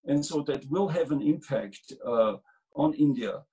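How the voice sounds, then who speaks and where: A man's voice: medium pitch, expressive, unhurried, dark tone, poor audio. A man from Austria speaking earnestly over a video call as a guest in a webinar interview, often restarting his sentences with fillers. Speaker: state trade director, guest speaker.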